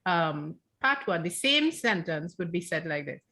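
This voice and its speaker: A woman's voice: on the low side, big pitch swings, a little slow, sharp tone. Trinidadian woman explaining thoughtfully. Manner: thoughtful, explanatory, restarts phrases. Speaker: guest author. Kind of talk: casual book club chat.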